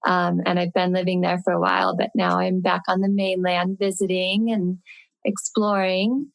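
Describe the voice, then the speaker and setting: A woman in her thirties introducing herself as a podcast guest, slow and earnest. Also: lowish voice, level tone, gravelly, dry recording, poor audio. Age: 30s. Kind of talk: casual introduction.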